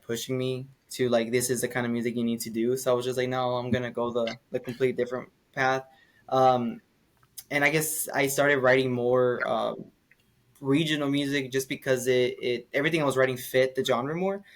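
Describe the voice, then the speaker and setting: A young man talking loosely about his singing and his family's band. He speaks at an unremarkable middle pitch with plenty of "um" and "like" as he wanders through his thoughts, in a casual podcast conversation. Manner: relaxed, rambling with many fillers. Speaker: singer and podcast guest. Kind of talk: casual interview chat.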